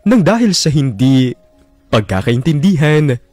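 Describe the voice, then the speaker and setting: A man's voice: medium pitch, big pitch swings, measured pace, darkish tone, dry recording, faint music behind. An actor narrating as a heartbroken young man, brooding and wounded over a lost love, in a radio drama. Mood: bitter. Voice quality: brooding, wounded inner monologue.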